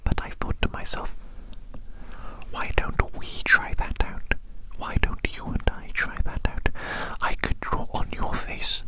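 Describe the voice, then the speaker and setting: A woman's voice: natural voice, low-quality recording. Woman as a whispering, politely odd alien doctor. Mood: curious, politely delighted. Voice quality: breathy, unvoiced whisper. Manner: formal, gently odd, repeats phrases slowly. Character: polite, curious alien doctor posing as a human. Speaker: ASMR roleplay performer. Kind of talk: whispered close-up personal-attention ASMR roleplay. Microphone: very close to the microphone.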